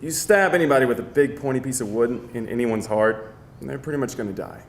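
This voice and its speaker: A man's voice: mid-range voice, fast, close to an everyday voice. A man playing an irritable, sardonic vampire with an exasperated, sarcastic manner in a live screenplay table read. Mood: dry, annoyed. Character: irritable, sardonic male vampire with an office job. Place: indoor live reading before an audience. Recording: roomy live venue, faint noise.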